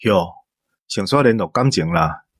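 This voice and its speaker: A man's voice: lowish voice, lively intonation, unhurried, breathy edge, no room sound, studio quality. A young man with a Taiwanese accent chatting casually and conversationally as a co-host.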